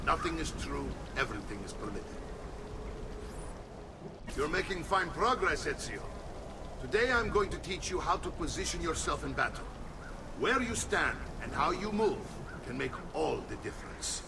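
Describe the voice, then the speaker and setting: A battle-hardened Italian uncle who leads and teaches assassins in a video game. His voice is creaky and authoritative, with an Italian accent and theatrical delivery. It sits a little away from the mic amid noticeable background noise. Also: a little high, expressive, moderate pace, distant mic. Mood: grave, secretive, then instructive. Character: commanding older Italian uncle, assassin mentor and war leader. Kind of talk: dramatic video game acting.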